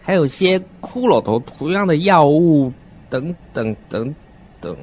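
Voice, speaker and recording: A man narrating a first-person horror story in a deliberate, dramatic manner. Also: a little high, expressive. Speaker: radio drama narrator and voice actor.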